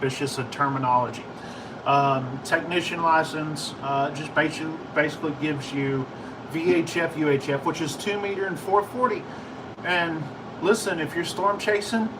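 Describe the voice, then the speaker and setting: American man, higher-pitched, rambling on a livestream. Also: recorded from a distance, background noise. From United States. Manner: rambling, informal, halting. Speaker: livestream host, ham and CB radio hobbyist. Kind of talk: casual livestream chat answering viewer questions.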